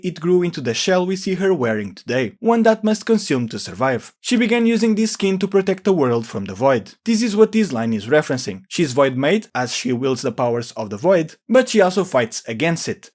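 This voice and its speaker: A man's voice: high-pitched, darkish tone. A man hosting a video game lore explainer, reading scripted narration.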